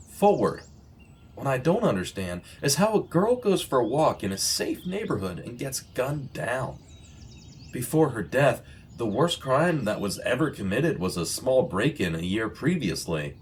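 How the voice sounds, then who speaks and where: An actor playing an earnest, righteous former journalist investigating a killing in a radio drama. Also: male voice, mid-range voice, sing-song, normal speed, slight background noise, lightly acted. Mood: puzzled, brooding. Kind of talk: audio drama acting in vintage radio manner. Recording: music laid under the voice. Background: plucked guitar music.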